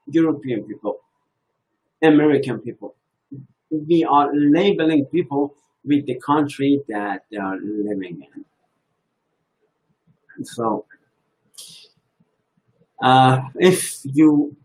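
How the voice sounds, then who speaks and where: Man, very slow delivery, halting, reflective talk on faith, medium pitch. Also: poor audio. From Iran. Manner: slow, halting, thinking aloud.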